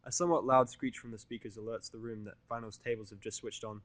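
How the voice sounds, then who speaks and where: A man's voice: on the low side, fairly even intonation, fast, a bit bright, dry recording. A man narrating a scripted first-person story as an audio fiction reader.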